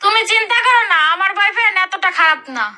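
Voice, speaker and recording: Woman acting a squeaky-high vain young wife. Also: fast, pushed and bright, exaggerated voice. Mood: breezy vanity. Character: vain, airy young wife in comic skits. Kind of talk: short comedy skit dialogue. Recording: very noisy, clicky short-video audio.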